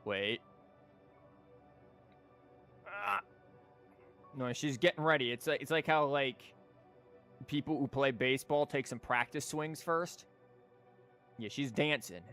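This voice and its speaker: A man gives casual live commentary as he plays a game. His voice is mid-pitched, and he talks in slangy, sweary, joking bursts. Music plays faintly in the background. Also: expressive, slow, pauses often, fairly bright tone.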